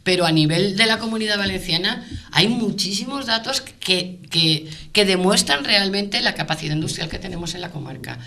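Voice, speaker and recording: A woman speaking off the cuff in a panel debate as a business representative, emphatic but often halting and repeating words as she searches for phrasing. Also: mid-range voice, bright, forceful tone, slight background noise.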